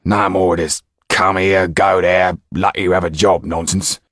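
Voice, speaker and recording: A man's voice: deep voice, little pitch movement, moderate pace, fairly bright tone, no echo, clean studio sound. A man doing a grumbling, sour coachman. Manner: grumbling, sarcastic.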